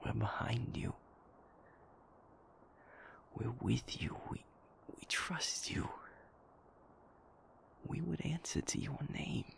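Pleading loyal packmate acted by a man, insistent, protective, breathy half-whisper, slow delivery, audio drama. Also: mid-range voice, lively intonation, full character voice. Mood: earnest, pleading. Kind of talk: emotional dramatic audio roleplay.

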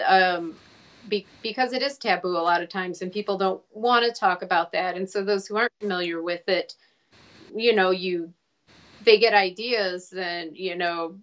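A woman's voice: fairly low pitch, fairly bright tone, lo-fi sound. American author in her forties on a home webcam call, stop-start and reflective. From United States. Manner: thoughtful, halting with many restarts. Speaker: author guest. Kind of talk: casual live video chat. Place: home room with a shelf behind. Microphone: webcam on a video call.

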